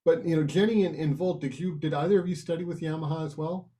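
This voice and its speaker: A man hosting an online panel, asking questions with encouraging, halting restarts. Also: a little high, steady tone, mellow, slight background noise. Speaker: host.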